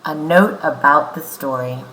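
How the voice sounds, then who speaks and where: A woman's voice: sits low, a little slow, pushed and bright, a little hiss or hum, low-quality recording. A woman hosting a read-aloud lesson for children as a teacher, explaining things simply.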